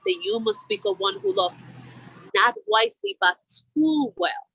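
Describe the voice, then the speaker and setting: A woman performing a bilingual Shakespeare monologue outdoors as a remorseful general facing death, in a measured, solemn delivery. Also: fairly high pitch, very animated, a bit bright, slightly rough, no room sound, full character voice, poor audio. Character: man, a remorseful general confessing before death.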